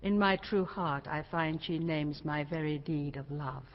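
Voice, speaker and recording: An actress playing a competitive, flattering princess in a classical Shakespearean stage drama, speaking formally and effusively. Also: female voice, low voice, unhurried. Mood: ingratiating, competitive. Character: competitive, flattering princess, a king's middle daughter. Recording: muffled, reverberant hall sound.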